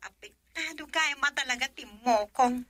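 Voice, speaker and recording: Isang babaeng gumaganap bilang anak na dalagang nasasaktan sa pag-ibig, na may punto ng Ilocano, sa isang radio drama. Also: female voice, sits high, normal speed. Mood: emotional. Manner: emotional, shifts from soft sighs to loud pleading. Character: young woman torn over love, daughter.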